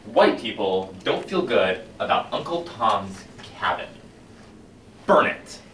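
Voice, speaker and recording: A man performing a cynical middle-aged fire chief in a competition monologue, lecturing his firemen with sarcasm and swinging between loud and hushed delivery. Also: fairly low pitch, very animated, measured pace. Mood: zealous. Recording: echoey hall heard from far off, faint hiss.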